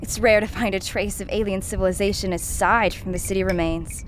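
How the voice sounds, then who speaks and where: A woman playing a sharp, skeptical crew member in a science fiction audio drama, blunt and challenging. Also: mid-range voice, steady tone, fairly quick, slight background noise, close to an everyday voice.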